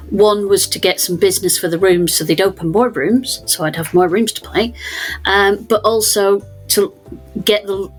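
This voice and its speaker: A woman on a discussion panel, telling stories in a lively, self-deprecating way. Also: fairly low pitch, low-quality recording. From Scotland. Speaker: escape room business panelist.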